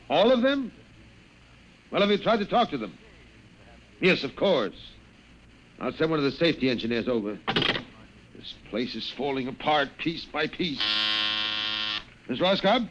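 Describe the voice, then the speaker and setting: A man's voice: fairly high pitch, fairly bright tone, full character voice. An actor playing a stern, superior male office boss in an old-time radio drama, curt and commanding. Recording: slightly noisy vintage radio recording.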